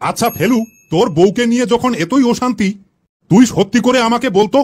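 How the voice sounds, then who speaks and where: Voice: mid-range voice, moderate pace, darkish tone, lightly acted. A performer voicing a bossy, questioning schoolteacher in a comedy cartoon. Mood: inquisitive. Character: schoolmaster quizzing cheeky pupils in a comedy cartoon. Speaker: teacher.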